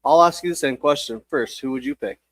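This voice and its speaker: A man speaking as a baseball coach, giving casual, measured interview answers with hesitant phrasing. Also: a little high, fairly bright tone, dry recording.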